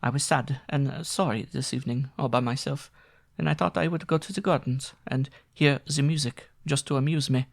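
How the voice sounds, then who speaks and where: A man voicing a small, quiet young foreign woman with a gentle accent. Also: medium pitch, steady tone, brisk, creaky, voice pushed up. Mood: soft. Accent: foreign accent put on by reader.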